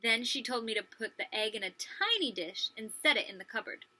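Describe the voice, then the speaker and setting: A woman preschool teacher reading a story aloud to young children, expressive and pausing to ask them questions. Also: on the high side. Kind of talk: animated children's read-aloud with questions to listeners.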